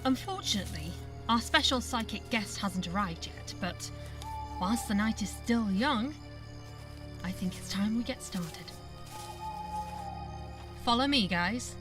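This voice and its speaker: Woman as paranormal TV host, theatrical, suspenseful, highly varied intonation, music behind. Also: animated, unhurried, pauses often, close to an everyday voice. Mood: welcoming. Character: dramatic female TV presenter of a ghost-hunting show. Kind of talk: live television presenting in audio drama.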